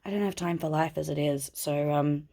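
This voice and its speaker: Low-voiced snuffly woman chatting about plants. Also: normal speed, warm tone. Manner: chatty, rambling, talks to her plants. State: stuffy and snuffly from a cold. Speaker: plant vlogger. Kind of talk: casual chat while handling plants. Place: at home indoors. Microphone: phone camera.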